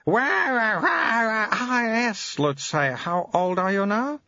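A man's voice: high-pitched, lively intonation, takes it slowly, creaky, sharp tone, no room sound, lo-fi sound, voice pushed up, exaggerated voice. A man voicing an ancient abbot in a baby's body, who babbles nonsense sounds between stern commands. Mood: babbling, commanding.

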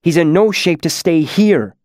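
A man playing a kindly, excitable man in a demon mask, emphatic and concerned, in an audiobook story. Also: sits high, moderate pace, no room sound, studio quality, pitched above the performer's own voice. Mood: snapping.

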